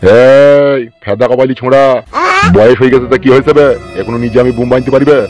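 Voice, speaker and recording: A man's voice: medium pitch, steady tone, fast talker, over music, natural voice. A man with a rural West Bengal accent voicing a sly, cantankerous old villager in a theatrical, crude, scolding way for a comic cartoon. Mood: indignant. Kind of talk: fast comic cartoon dialogue.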